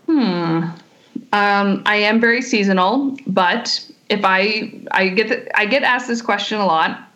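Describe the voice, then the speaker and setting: A woman's voice: mid-range voice, a little slow, a bit bright, low-quality recording. A woman from California, a sommelier guest, answering questions in a thoughtful way with many fillers and restarts. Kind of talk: casual interview answers.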